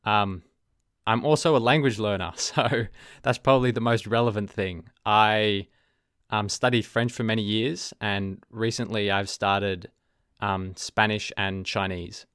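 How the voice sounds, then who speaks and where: A young Australian man hosting his own podcast, talking casually and thoughtfully, often pausing with ums and correcting himself as he goes. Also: on the low side, steady tone, fairly bright tone, clear, resonant tone, no room sound, clean studio sound. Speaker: podcast host. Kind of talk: casual solo podcast talk.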